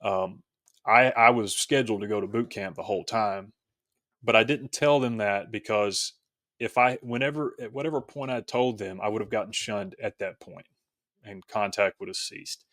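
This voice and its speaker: A man's voice: fairly low pitch, moderate pace, fairly bright tone, no echo, clean studio sound. A man speaking as a podcast guest, telling a personal story casually in a reflective, somewhat hesitant way.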